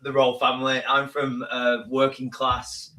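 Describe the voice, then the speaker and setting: A man's voice: mid-range voice, monotone, a little slow, sharp tone, a little hiss or hum. A man in his thirties from northern England with a northern English accent, a guest telling his story in a casual, modest way with many restarts. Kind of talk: casual interview talk.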